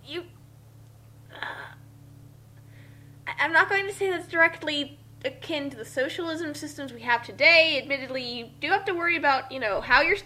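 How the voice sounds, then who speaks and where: A woman comments casually in a high, forceful voice. She sounds exasperated, exclaiming and halting as she searches for words. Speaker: co-reader voicing characters and commenting.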